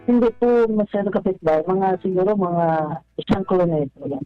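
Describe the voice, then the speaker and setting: A middle-aged man calling a radio show, telling his story casually in a halting, deferential way, repeating his phrases. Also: high voice. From Philippines, Visayas or Mindanao. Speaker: caller to a radio advice show. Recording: dull lo-fi phone call, faint music.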